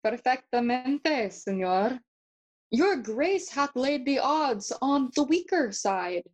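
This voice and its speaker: A woman playing a playful, philosophical young prince in a bilingual audio drama, speaking classical verse with a measured, theatrical manner. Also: high voice, animated, exaggerated voice. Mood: courteous, wry. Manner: measured, theatrical, switching between English and Spanish.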